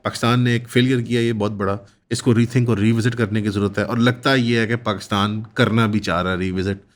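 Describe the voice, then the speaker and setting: Low-pitched Pakistani man giving political commentary. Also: steady tone, quick pace. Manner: mixes English terms into Urdu, long winding sentences. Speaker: news analyst and commentator.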